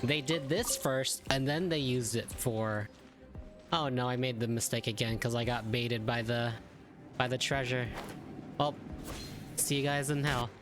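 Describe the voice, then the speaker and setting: A man's voice: mid-range voice, fairly even intonation, measured pace. A man giving casual commentary while he plays a game. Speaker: gaming video creator playing and reacting. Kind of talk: casual gameplay commentary with dialogue read aloud. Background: game music.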